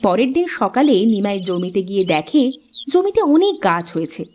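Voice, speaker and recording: A woman's voice: mid-range voice, sing-song, normal speed, darkish tone, a little hiss or hum. A woman reading scripted storybook narration for a cartoon folk tale.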